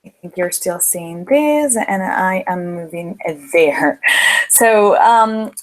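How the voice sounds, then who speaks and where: A woman's voice: lowish voice, takes it slowly, rough recording. A young woman from the Dominican Republic with a Dominican Spanish accent, leading a motivational webinar in an upbeat, chatty way. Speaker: webinar host, planner business owner. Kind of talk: live webinar presentation.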